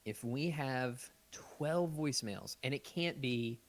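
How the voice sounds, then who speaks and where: A man's voice: medium pitch, takes it slowly. A man chatting casually as the host of a podcast. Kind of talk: casual live podcast chat.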